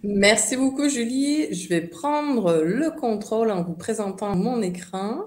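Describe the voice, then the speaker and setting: A woman from Montreal with a clear Québécois French accent gives a tourism presentation in an online webinar. She speaks in a friendly way, with fillers and some repeated words. Her voice comes through a computer mic with a noticeably echoey room sound. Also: fairly high pitch, lively intonation, unhurried, a little mellow. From Canada, Montreal. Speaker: tourism board representative. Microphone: computer mic on a video call. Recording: echoey online call audio.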